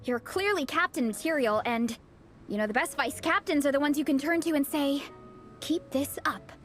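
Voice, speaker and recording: A woman's voice: high-pitched, moderate pace, faint music behind, lightly acted. A woman voicing an upbeat young hero-training mentor in a video game, bubbly and encouraging, with frequent laughs.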